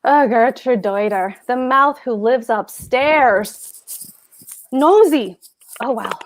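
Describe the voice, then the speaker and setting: Woman playing a pompous, high-voiced fretful husband. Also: animated, takes it slowly. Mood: grumbling. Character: fussy, pompous, anxious husband, a government clerk. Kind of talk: cold table read of a stage comedy.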